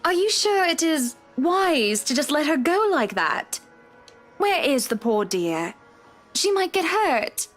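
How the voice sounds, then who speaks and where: A woman's voice: sits high, very animated, slow, faint music behind, full character voice. A woman playing a bossy, genteel wealthy wife in a visual novel game, speaking in a prim, refined way. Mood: concerned.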